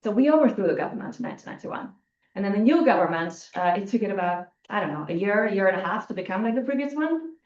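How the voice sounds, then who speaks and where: A woman's voice: mid-range voice, expressive, fast talker, darkish tone. A woman in her forties who grew up in Albania, giving a conversational conference talk with frequent restarts. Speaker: academic panel speaker. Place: lecture room. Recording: echoey room, muffled lo-fi sound.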